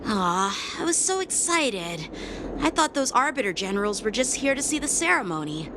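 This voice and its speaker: A woman's voice: mid-range voice, sing-song, normal speed, background noise, close to an everyday voice. A woman voicing an earnest, polite and modest young boy in a video game, with dutiful, formal phrasing. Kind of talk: English video game voice acting. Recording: loud background music under the dialogue. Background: game music.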